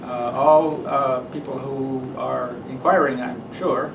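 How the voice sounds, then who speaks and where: A man introducing a guest speaker at an event, halting with many fillers. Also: medium pitch, fairly even intonation, creaky. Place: meeting room with audience. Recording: roomy, distant mic, background noise.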